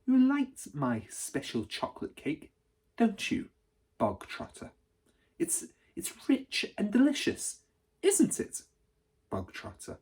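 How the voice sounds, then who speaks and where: A storybook reader voicing a huge, cruel, tyrannical headmistress who barks and booms insults. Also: animated, slow pace, darkish tone, husky. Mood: sly, mock-sweet. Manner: barking, booming insults. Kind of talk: storybook read aloud.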